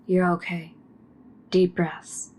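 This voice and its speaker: A woman playing a composed, dutiful military officer who speaks in a calm, measured way in a sci-fi drama. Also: fairly low pitch, slight background noise. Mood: calm, patient. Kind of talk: dramatic sci-fi audio acting.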